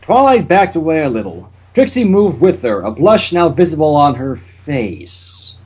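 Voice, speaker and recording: A man's voice: fairly high pitch, sing-song, measured pace, a little mellow, breathy edge. A man hosting a casual fan fiction reading, narrating with chatty, wry asides. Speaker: channel host and reader.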